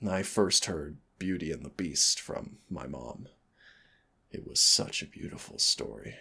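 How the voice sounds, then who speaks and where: A man voicing a gentle, affectionate demon prince in an intimate roleplay audio, husky and gravelly, speaking tenderly and without hurry. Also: very deep voice, lively intonation, heavily acted. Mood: wistful, fond. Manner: tender, unhurried, wistful storytelling. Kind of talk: intimate roleplay audio acting, soft and soothing.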